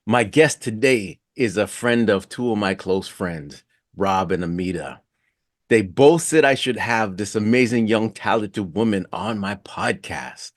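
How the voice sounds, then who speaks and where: A man's voice: on the low side, measured pace, no room sound, studio quality. A man hosting a casual podcast interview, asking questions in an informal, inquisitive way.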